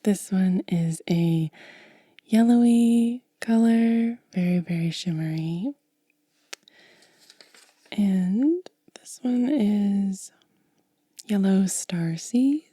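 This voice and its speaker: A woman doing soft-spoken ASMR, mostly whispering in a gentle, breathy, casual way as she narrates a hands-on demonstration close to the mic. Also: medium pitch, no echo. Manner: gentle, unhurried, casual commentary. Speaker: ASMR video creator and host. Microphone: close mic, dry.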